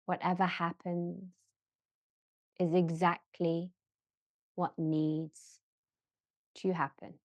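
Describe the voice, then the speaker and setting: A woman guiding a yoga nidra relaxation in a gentle, measured manner, leaving long pauses between short phrases. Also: lowish voice, flat delivery, no room sound. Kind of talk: slow guided relaxation meditation.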